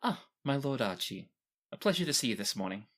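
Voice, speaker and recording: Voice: mid-range voice, big pitch swings, a little fast. A game master plays a courteous woman attendant in a tabletop fantasy game. The phrasing is courtly and deferential, with a composed, formal manner. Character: Sybil, polite deferential female attendant reading a book.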